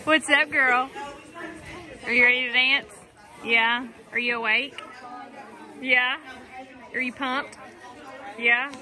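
A woman's voice: sits high, fairly even intonation, slow pace, pauses often, bright, forceful tone, a faint crowd. A young woman, a dance teacher, chatting casually on her phone camera and teasing her young students in an upbeat way. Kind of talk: casual vlog chat. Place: car, then busy school gym and auditorium. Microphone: phone held at arm's length.

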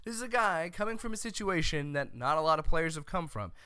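An American man hosts a sports show with loud, emphatic opinions. His voice is crisp and fairly high, and it sits close and dry on a studio mic. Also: lively intonation, brisk, no echo. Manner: loud, emphatic, exasperated ranting. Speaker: host of a college football show. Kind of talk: opinionated sports commentary to camera. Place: small room at home. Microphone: close studio mic on a boom arm.

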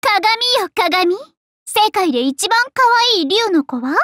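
A vain teenage dragon-girl princess from an anime game. The voice is piercingly high and bright, swinging wildly in melody. She is theatrical and boastful, like the idol she claims to be, slipping into singsong. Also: lively intonation, slow pace, no echo, studio quality, full character voice. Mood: smug, playful. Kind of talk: anime game character voice lines.

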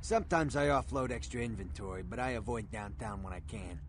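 A man's voice: fairly low pitch, fairly quick, a little hiss or hum, lightly acted. A man voicing a street-wise, world-weary American underworld fixer, terse and matter-of-fact. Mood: matter-of-fact, guarded.

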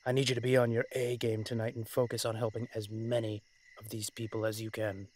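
A man playing a commanding, weary dragon-shifter mafia boss in a dramatic roleplay audio, speaking in a measured, authoritative way. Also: lowish voice, flat delivery, darkish tone, slight background noise, close to an everyday voice. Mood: urgent, firm.

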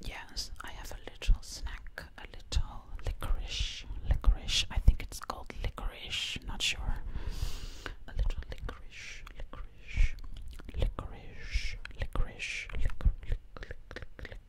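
A young woman performs ASMR in a breathy, unvoiced whisper, very close to the microphone. She takes her time, moving slowly and repeating words and short phrases in a rhythmic way. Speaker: ASMR performer playing a time-travelling zombie princess. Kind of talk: ASMR whispered roleplay ramble. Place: dim home room, indoors. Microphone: close ear-to-ear microphone.

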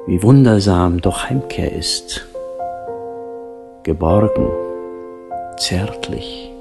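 Slow, deep-voiced man narrating solemnly. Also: expressive. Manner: hushed, breathy, drawn-out phrasing, trailing into whispers. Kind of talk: solemn poetic narration, audio drama. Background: new-age instrumental music.

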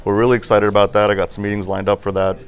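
A man's voice: on the low side, racing, dull audio. A man in his thirties who owns a barbershop, talking casually with lots of fillers, recorded in his shop. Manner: relaxed, chatty, with many fillers. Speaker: barbershop owner. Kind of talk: casual interview answers. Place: barbershop interior.

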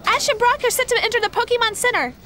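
A woman playing an earnest young female counter-terrorism analyst in a radio drama, giving brisk, businesslike briefings. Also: very high-pitched, very noisy. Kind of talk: action-thriller radio drama acting.

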